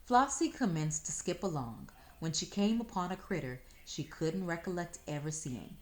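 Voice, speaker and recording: Female storybook narrator, slightly low register, expressive scripted read-aloud. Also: moderate pace. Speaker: storybook reader.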